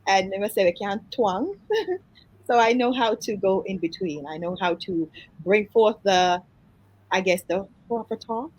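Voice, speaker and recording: A woman's voice: mid-range voice, expressive, measured pace, slightly rough, low-quality recording. A woman from Jamaica with a Jamaican accent, chatting as an interview guest, laughing easily and trailing into fillers. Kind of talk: casual interview conversation.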